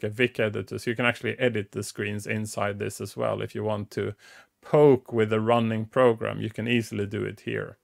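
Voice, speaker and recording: A man's voice: fairly low pitch, gravelly, fairly bright tone. A middle-aged man whose first language is Swedish, hosting a casual technical explainer with frequent restarts and fillers, speaking into a headset. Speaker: show host. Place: small dim room indoors.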